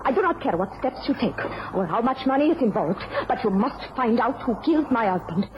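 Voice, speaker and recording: Radio widow played by an actress: French accent, proud, formal, fearless, slightly high pitch over noisy sound. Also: female voice, expressive, close to an everyday voice. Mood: vengeful anger. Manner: formal, deliberate, intense. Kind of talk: radio drama acting. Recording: noisy, dull lo-fi radio recording.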